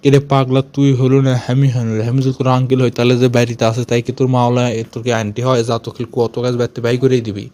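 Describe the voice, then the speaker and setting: A man's voice: medium pitch, level tone, very fast, hardly any pauses, dark tone, natural voice. A man voicing an angry, blustering village father with a Bogura accent in a comic amateur cartoon dub. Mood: outraged, interrogating. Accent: Bogura, northern Bangladesh. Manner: scolding, blustering, full of threats. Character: angry, threatening village father scolding wife and son.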